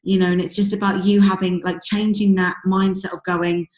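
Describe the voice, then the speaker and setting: A woman with a husky, fading voice, coaching her sales team on a call in a chatty way full of fillers. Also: mid-range voice, flat delivery, normal speed, a little mellow. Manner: chatty, many fillers like "um" and "you know". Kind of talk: casual motivational coaching talk. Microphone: video call. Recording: echoey room over a video call.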